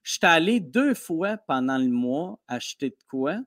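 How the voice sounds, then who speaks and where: A man with a Québécois French accent, a comedian guest telling stories in a casual podcast interview, animated and quick, with many restarts. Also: fairly high pitch. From Quebec. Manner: animated storytelling, fast with restarts.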